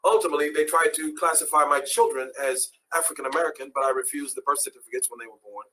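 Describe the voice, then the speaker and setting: A man's voice: fairly high pitch, steady tone, fast talker, pushed and bright, poor audio. A man who is a guest on a talk show, explaining his views in an assertive, lecturing way.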